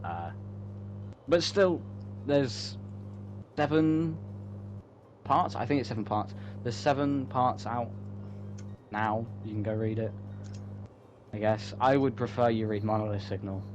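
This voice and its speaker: A man's voice: medium pitch, measured pace, long pauses. A man chatting casually and rambling, often repeating and interrupting himself in a joking way. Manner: self-interrupting, repetitive, joking, swearing casually. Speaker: writer promoting own online story. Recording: muffled audio with clear hum.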